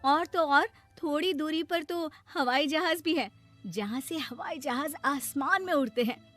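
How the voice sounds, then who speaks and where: A woman voicing a kind, gently bossy older city cousin who explains things patiently in a cartoon story. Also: high-pitched, measured pace. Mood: patient, proud. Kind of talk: Hindi moral-story cartoon dubbing. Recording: music behind the voice.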